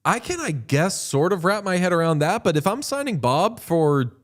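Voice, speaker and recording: A man's voice: high voice, sing-song, normal speed. A man co-hosting a hockey podcast, chatting casually and joking around. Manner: joking, riffing, does silly voices of players. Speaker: podcast co-host. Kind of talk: casual sports chat.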